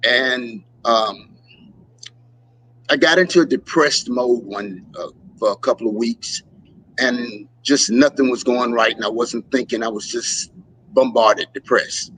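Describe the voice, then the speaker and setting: A man, a musician guest in a casual interview, telling stories and acting out quotes with lively voices. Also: medium pitch, measured pace, slight background noise, poor audio. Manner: animated storyteller, acts out quotes.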